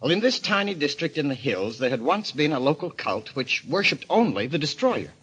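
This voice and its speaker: An obsessed, arrogant explorer in an old radio mystery. His delivery is grandiose and theatrical, with a forceful tone and highly animated pitch. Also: brisk, husky, dull audio, full character voice. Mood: brooding, fervent. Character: obsessed, arrogant male explorer enthralled by a cult of destruction.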